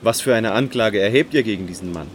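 A man's voice: lowish voice, fast, a little hiss or hum, close to an everyday voice. A man playing a brusque, authoritative Roman governor questioning a prisoner in a Bible audio drama.

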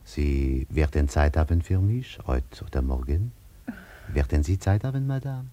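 An actor playing a flattering French seducer in a radio drama, effusive and theatrical, with a French accent and a deep, dark voice. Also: male voice, unhurried. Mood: wooing, flattering. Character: flattering French charmer wooing a woman.

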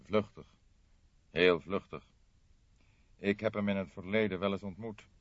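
A man voicing a courteous, apologetic gentleman in his forties in an audiobook, speaking formally and emphatically. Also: low-pitched, fairly even intonation, a little slow, no room sound. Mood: apologetic, shaken. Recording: dull, dry lo-fi audiobook recording.

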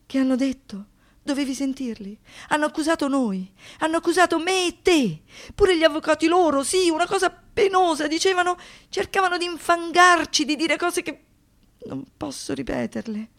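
An actress playing a wounded, defiant young survivor in an intimate radio monologue, confiding and halting, with dramatic pauses. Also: female voice, sits high, fairly bright tone. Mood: indignant, confiding.

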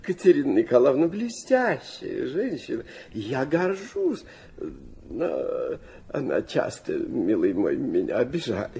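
An actor playing a sentimental, flighty old Russian prince in a radio play, rambling and hesitant, stammering and dropping in French phrases. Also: male voice, mid-range voice, huge pitch swings, takes it slowly, creaky, mellow, a little hiss or hum, heavily acted. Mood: sentimental, effusive. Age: older.

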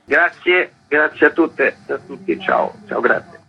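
A man's voice: fairly high pitch, measured pace, vocal fry, highs cut off, loud music behind the voice. A man, a festival organizer chatting loosely in a radio interview, full of fillers. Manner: rambling, relaxed, many fillers.

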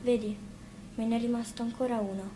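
A woman's voice: on the high side, fairly even intonation, brisk, natural voice. A woman voicing an earnest, pleading little boy in a storybook reading, with faint noise behind. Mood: earnest confession. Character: small lost boy, earnest, long away from home. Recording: faint noise, live radio broadcast.

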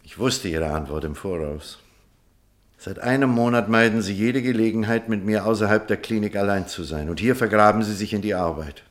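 Radio drama role: earnest male doctor torn by love, fairly low pitch, formal and measured male performer. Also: natural voice. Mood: regretful. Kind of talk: German radio drama acting.

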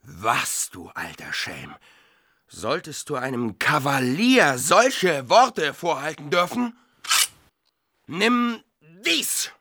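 Forceful, insistent army lieutenant. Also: male voice, on the high side, big pitch swings, takes it slowly, dry recording, clean studio sound, heavily acted. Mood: outraged. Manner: formal, archaic courtly German, pressing. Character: proud, insistent male army lieutenant, hot-tempered cavalier. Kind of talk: radio drama acting.

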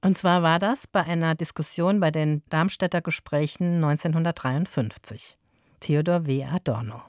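A woman's voice: deep voice, moderate pace, darkish tone, no echo. A woman hosting a cultural radio programme, composed and articulate as she presents and interviews in a studio. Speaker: radio host.

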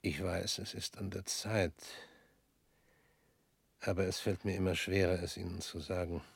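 A husky, brooding ex-official. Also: male voice, low-pitched, level tone, no echo, heavily acted. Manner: measured, brooding, often sinking to a whisper. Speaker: radio drama actor. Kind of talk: radio play acting.

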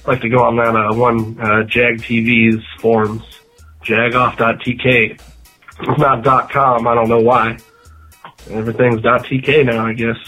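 A man's voice: mid-range voice, little pitch movement, normal speed, pushed and bright, slightly rough, soft background music, rough recording. A sick man with a nasal voice leaving a rambling, mocking voicemail. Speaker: voicemail caller.

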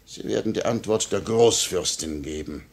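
An actor playing a smug, cynical police chief in a 1950 radio play, suave and sardonic, coaxing and mocking in a measured theatrical way. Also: male voice, low voice. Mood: insinuating.

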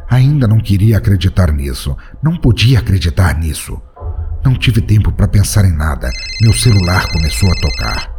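A man's voice: low-pitched, warm tone. A man narrating a first-person horror story in a dramatic, tense storytelling manner. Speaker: narrator and podcast host.

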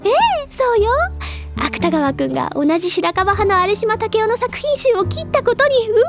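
A woman playing a bubbly, stubborn high school girl who gushes and lectures theatrically about literature in an audio drama. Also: animated, moderate pace, over music. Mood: proud, eager. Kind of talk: Japanese anime-style audio drama acting.

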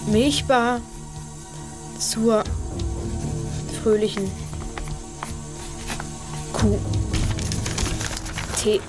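Slow-spoken youngster over music. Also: female voice, on the high side, fairly even intonation. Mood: deliberate. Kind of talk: German children's radio play acting. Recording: music playing behind the voice.

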